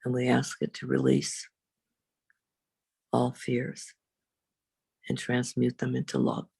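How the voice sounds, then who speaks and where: A woman reverend leading a guided meditation in a slow, soothing, unhurried way, with long pauses. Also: sing-song, a little mellow, no echo. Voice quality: low, husky, creaky.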